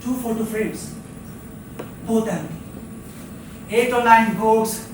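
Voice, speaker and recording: A stage actor playing a lonely, restless rooming-house drifter, rambling theatrically and dwelling on words in halting repetitions. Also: medium pitch, noisy background, natural voice. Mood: listing, wistful. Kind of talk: live stage play monologue. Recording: echoey theatre captured from far off, faint music.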